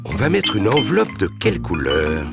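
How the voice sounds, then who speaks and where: A very deep, hearty daddy pig in a children's cartoon. He is affectionate and hugely animated, speaking slowly over clear background music. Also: heavily acted. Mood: jovial. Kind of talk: French-dubbed preschool cartoon dialogue. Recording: music behind the dialogue.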